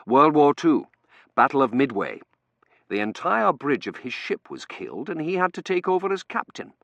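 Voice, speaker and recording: A man voicing a brusque, commanding male academic in an audiobook, brisk, authoritative and easily exasperated. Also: on the high side, big pitch swings, vocal fry, a bit bright, no room sound, muffled recording, voice pushed up, exaggerated voice. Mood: dry, firm.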